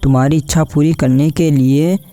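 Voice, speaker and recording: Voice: mid-range voice, fairly even intonation, moderate pace, mellow, lightly acted. An actor voicing a poor but clever village husband, earnest and honest, in a cartoon story. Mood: secretive, worried. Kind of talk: Hindi cartoon story acting.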